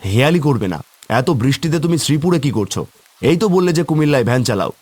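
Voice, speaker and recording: A man voicing a blunt, suspicious villager in a cartoon, brusque, excitable and quick to challenge. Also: medium pitch, no echo, natural voice. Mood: suspicious, agitated. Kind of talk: cartoon character acting.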